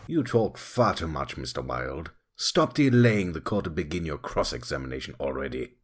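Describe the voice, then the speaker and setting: A man playing a cold, haughty, impatient prosecutor who speaks formally in a husky voice, in an audio drama. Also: a bass voice, big pitch swings, moderate pace, full character voice. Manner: formal, disdainful.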